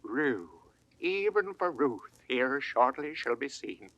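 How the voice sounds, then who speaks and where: Slow, bright-voiced grave gardener. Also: male voice, medium pitch, very animated, lo-fi sound, full character voice. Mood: pitying, sorrowful. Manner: deliberate, declamatory verse delivery. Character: head gardener, grave, plain-spoken, humble moralizing working man. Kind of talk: 1960s classical Shakespeare audio drama acting.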